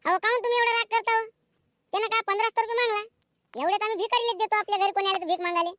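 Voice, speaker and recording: A performer voicing an indulgent, quarrelsome village mother in a comedic cartoon, sharp-tongued, with a rural Maharashtrian Marathi accent. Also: very high-pitched, steady tone, rapid-fire, dry recording, clean studio sound. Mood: scolding. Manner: scolding husband, coddling son. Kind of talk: comedic cartoon skit dialogue.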